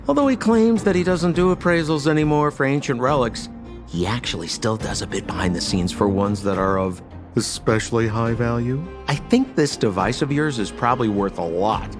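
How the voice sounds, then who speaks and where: An actor playing a smooth-talking young male hustler in a video game, with showy, sly salesman patter. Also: medium pitch, close to an everyday voice. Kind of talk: English video game voice acting.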